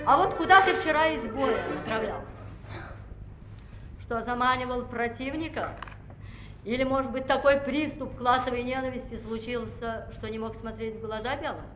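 An actress playing a stern, composed commissar. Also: female voice, fairly high pitch, moderate pace, close to an everyday voice. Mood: firm, probing. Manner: calm, probing and commanding. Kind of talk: 1960s film acting. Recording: roomy old film soundtrack with music.

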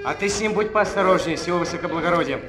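An actor playing a cocky, flippant anarchist sailor. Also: male voice, high-pitched, rapid-fire, over loud music, close to an everyday voice. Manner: flippant, folksy, full of sayings. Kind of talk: 1960s film acting. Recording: roomy old film soundtrack with faint noise.

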